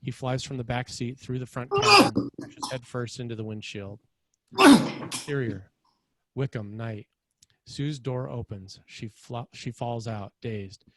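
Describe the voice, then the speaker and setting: A man's voice: mid-range voice, very animated, unhurried, sharp tone, rough recording. A man narrating screenplay scene headings and action in a scripted read.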